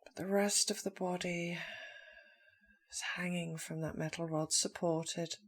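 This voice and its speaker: A woman leading a guided meditation in a soft, breathy voice, speaking calmly in short, slow phrases with long pauses. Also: fairly low pitch. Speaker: meditation teacher.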